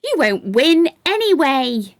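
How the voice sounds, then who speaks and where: A woman's voice: high voice, sing-song, slow pace, dry recording, pitched above the performer's own voice, full character voice. A woman voicing a grumpy, mocking little creature who taunts and discourages in a children's storybook. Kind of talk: children's storybook read-aloud.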